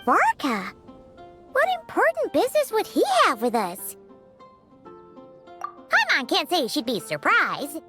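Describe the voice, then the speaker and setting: A woman's voice: high voice, wildly swooping pitch, unhurried, pauses often, sharp tone, faint music behind, exaggerated voice. A woman voicing a small, chirpy, cheeky childlike fairy companion in an anime video game, with an exclaiming manner. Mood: puzzled. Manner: speaks of self in third person, exclaiming.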